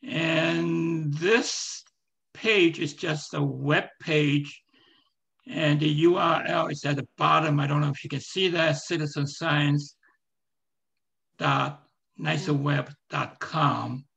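A man with a hoarse, strained voice giving a live screen-share presentation, talking in a halting way with many fillers and restarts. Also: a little high, fairly even intonation, fairly bright tone.

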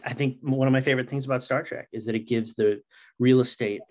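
A middle-aged man speaking as an interview guest, earnest and halting, in conversation over a video call. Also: mid-range voice, fairly even intonation, darkish tone. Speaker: interview guest, comic writer.

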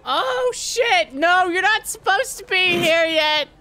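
A woman's voice: very high voice, lively intonation, takes it slowly, sharp tone, slight background noise, exaggerated voice. A woman voicing a brash, foul-mouthed, mischievous older aunt with a sassy manner in an improvised comedic game dub. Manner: sassy, swearing.

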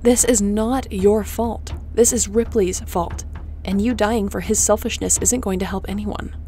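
A woman playing a tough, resolute space captain in an audio drama, speaking in a firm, dry, commanding way with a creaky voice. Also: fairly even intonation, unhurried, mellow, slight background noise, close to an everyday voice. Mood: determined, protective. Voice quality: creaky, low-toned. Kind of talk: audio roleplay acting.

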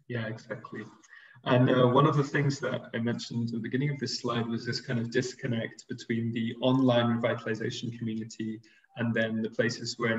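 A man presenting a linguistics paper over a video call, with a steady delivery and frequent fillers. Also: mid-range voice. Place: room indoors. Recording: roomy, distant, dull video call audio.

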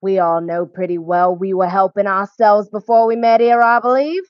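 A woman acts out a brazen working-class charwoman in a radio play. She is blunt and defiant, with a medium-pitched voice, on a dry, slightly dull recording. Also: steady tone, normal speed, no echo, lightly acted. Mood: spiteful, defiant.

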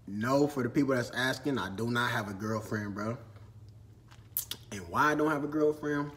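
A man's voice: mid-range voice, moderate pace, fairly bright tone. Laid-back slangy young man vlogging. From Minnesota. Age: 20s. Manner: laid-back slangy chat, eating while talking. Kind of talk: casual Q&A vlog. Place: storage room with shelves and ladders, indoors.

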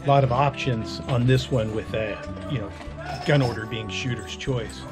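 A man's voice: mid-range voice, normal speed. A middle-aged man, a competitive shooter, casually explaining to the camera at an outdoor range. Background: loud music.

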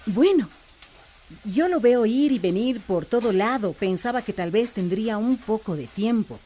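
A woman's voice: fairly high pitch, animated, unhurried, mellow, a little hiss or hum. A woman playing a patient, persuasive police corporal in a radio soap, courteous and earnest.

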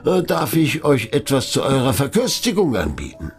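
Man acting a pompous, swooping rich gentleman collector. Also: medium pitch, huge pitch swings, soft background music. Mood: effusive, fussy. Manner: pompous, hesitating, drawn-out exclamations and chuckles. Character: genteel, pompous wealthy male private collector, effusive host. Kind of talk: German audio play acting.

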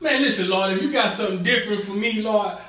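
A man voicing an excitable, exasperated complainer in an exclamatory comedy voice-over. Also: sits high, quick pace, reverberant. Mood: panicked.

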